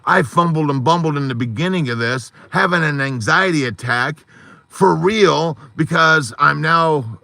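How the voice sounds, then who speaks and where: A man hosting a support group live stream, giving a fervent, rambling pep talk full of metaphors, his voice hoarse, from inside a parked car at night on a phone. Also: fairly high pitch, measured pace, sharp tone, no echo.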